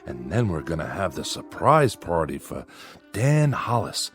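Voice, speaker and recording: A man's voice: deep voice, big pitch swings, a little slow, fairly bright tone, faint music behind, heavily acted. A man playing a nervous farmer father with an American accent in a radio drama, folksy, hesitant and far too agreeable. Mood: forced cheer.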